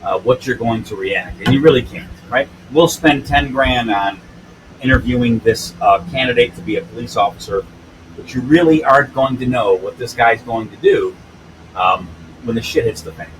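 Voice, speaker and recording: A man's voice: mid-range voice, moderate pace, a little hiss or hum, low-quality recording. A man in his fifties, a police use-of-force instructor telling stories in an interview, blunt and earthy, often tagging lines with "right?". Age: 50s. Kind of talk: storytelling in an interview.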